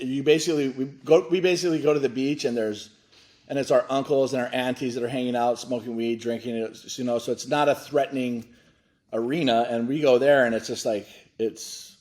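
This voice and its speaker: A man in his forties from Hawaii talks over a video call, earnest and halting. His voice sits at an ordinary middle pitch, and he often restarts his sentences as he gives his story. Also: fairly even intonation, a little mellow. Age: 40s. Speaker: nonprofit foundation director, guest. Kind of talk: candid interview talk.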